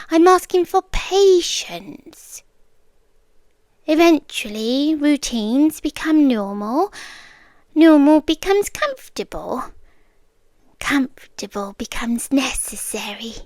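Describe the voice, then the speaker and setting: A British woman playing an obsessive, possessive young girlfriend in an intimate ASMR roleplay, soft and deliberate, sweetly menacing, slipping into whispers. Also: sits high, lively intonation, no room sound, exaggerated voice. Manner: slow, deliberate, coaxing, with pauses and whispered words. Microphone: close, dry microphone.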